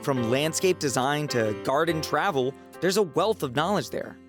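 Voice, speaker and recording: Mid-pitched announcer reading an ad over music. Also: animated, normal speed. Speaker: advertisement announcer. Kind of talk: scripted ad read. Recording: prominent guitar music under voice.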